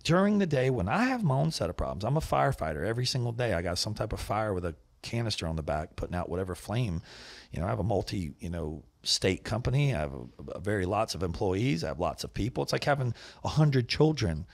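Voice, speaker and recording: Middle-aged guy hosting a podcast, gravelly husky voice, dry close mic, gets fired up making a point. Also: lowish voice, quick pace, no echo. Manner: animated, gets loud and emphatic when making a point. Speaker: podcast host, business owner. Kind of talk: casual podcast chat. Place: studio set with wooden walls and shelves. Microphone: boom mic with headphones.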